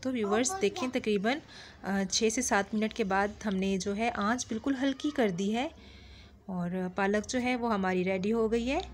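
A woman's voice: mid-range voice, normal speed, slight background noise. A woman, narrating a home cooking recipe as a channel host, in a friendly, instructive way with small fillers. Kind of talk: step-by-step cooking voice-over. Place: home kitchen.

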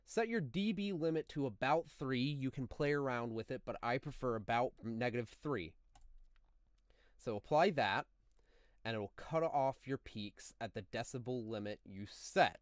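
A man narrating a step-by-step software tutorial in a deliberate, instructional manner, as a close, dry voice-over. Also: medium pitch, dry recording. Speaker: tutorial host.

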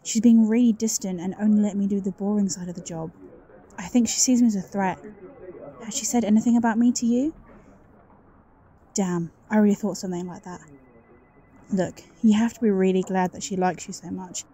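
A woman's voice: medium pitch, fairly even intonation, fairly quick, long pauses, dark tone, lightly acted. A woman playing a suspicious, insecure office colleague in an audio drama, confiding in a conversational way. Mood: uneasy, confiding.

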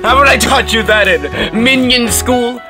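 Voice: mid-range voice, normal speed, music in the background, natural voice. A performer voicing a bossy, vain, evil cartoon boss of minions, theatrical and chuckling. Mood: smug, mocking. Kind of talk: comedic cartoon character voice acting.

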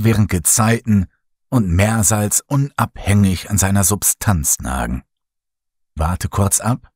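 Male horror narrator, slow and hushed, breathy timbre, dry booth sound. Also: fairly low pitch, no room sound. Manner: slow, hushed, dramatic storytelling. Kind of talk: scripted horror audiobook narration.

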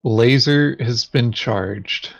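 Very slow-talking man, lo-fi sound. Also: mid-range voice, vocal fry. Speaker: tabletop game player. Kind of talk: casual board game session chat. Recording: dull, lo-fi microphone sound.

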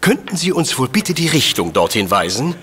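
Pompous professor, lively and swooping. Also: male voice, mid-range voice, moderate pace, soft background music. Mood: formal, composed. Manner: pompous, ornate, formal phrasing. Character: pompous, lecturing male professor, self-assured and commanding. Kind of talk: scripted radio drama acting.

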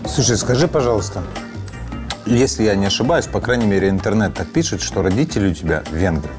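A male travel show host in his thirties asks a guest questions in a curious, casual way. His voice sits fairly low. Clear background music runs under the conversation. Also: expressive, a little fast. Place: cozy indoor room full of wall clocks, seated at a table.

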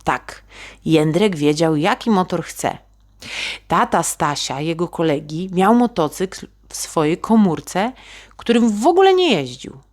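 A woman tells a story for children with dramatic expression. Her pitch sits a little low and her delivery is very unhurried. The intonation swings widely as she speaks to her young audience. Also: sing-song, darkish tone. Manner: dramatic, addresses young listeners. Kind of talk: expressive bedtime-story narration in Polish for children.

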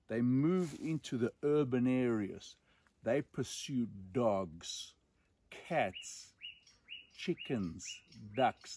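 Zimbabwean man storytelling with emphatic pauses. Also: medium pitch, darkish tone, slightly rough. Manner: emphatic, dramatic pauses, repeats words for effect. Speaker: storyteller and host. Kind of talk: animated outdoor storytelling. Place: outdoors in dense bush.

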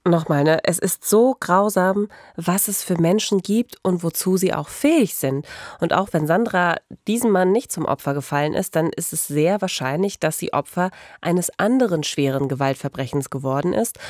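A woman's voice: on the low side, a little mellow, no room sound, studio quality. A woman hosting a true-crime podcast, talking in an empathetic and personal conversational way. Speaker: podcast host.

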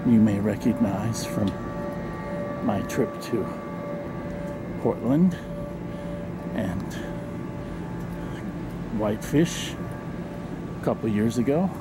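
A man in his sixties narrating a casual train travel vlog to a handheld camera, speaking haltingly in short phrases. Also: on the low side, loud music behind the voice. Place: outdoors at railway station and train yard. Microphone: handheld camera at arm's length. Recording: noisy outdoor camcorder with traffic and trains.

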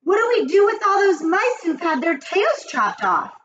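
A woman voicing bloodthirsty, fawning witches in a storybook, shrieking and exclaiming excitedly. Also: very high voice, moderate pace, muffled recording, voice pushed up, heavily acted. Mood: eager, fawning. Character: shrill crowd of bloodthirsty, fawning female witches. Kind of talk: storybook character voice.

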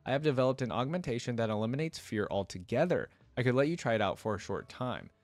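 A man voicing a calm, calculating male scientist who lectures persuasively in a storybook read-aloud. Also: mid-range voice, fast, no room sound, natural voice. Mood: measured, persuasive.

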